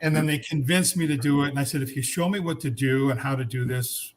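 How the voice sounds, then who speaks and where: A man in his seventies tells his story in an unhurried, reflective way. He often pauses on "uh" and "um". His pitch sits at an ordinary middle level. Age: 70s. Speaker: guest. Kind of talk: casual chat.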